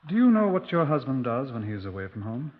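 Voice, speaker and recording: A man acting a gruff, impatient London police superintendent in a radio drama, with a clipped, formal British delivery. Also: mid-range voice, very animated, brisk. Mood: brisk questioning. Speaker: narrator and lead actor. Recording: muffled old 1950s radio recording.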